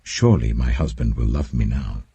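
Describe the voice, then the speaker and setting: Man voicing wistful, husky wife Leah. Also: a bass voice, normal speed, mellow, pitched below the performer's own voice, heavily acted. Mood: wistful hope. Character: Leah, unloved wife and mother, wistful, hopeful.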